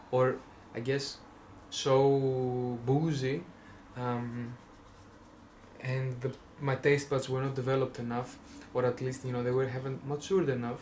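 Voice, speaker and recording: A man's voice: mid-range voice, a little mellow, slight background noise. A young man from Greece with a Greek accent, rambling casually in a vlog, full of fillers and self-restarts with wry jokes. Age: young adult. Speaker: vlogger.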